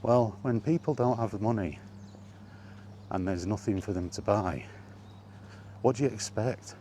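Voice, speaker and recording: A man's voice: lowish voice, unhurried, dark tone, a little hiss or hum. A man talking off the cuff in an exasperated gaming rant, emphatic and full of rhetorical questions. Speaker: solo video game vlogger.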